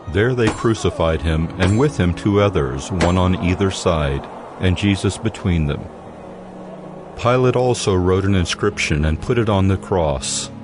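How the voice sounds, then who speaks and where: Deep voiced guy narrating the bible, solemn storytelling, music behind him. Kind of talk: scripted audio Bible narration.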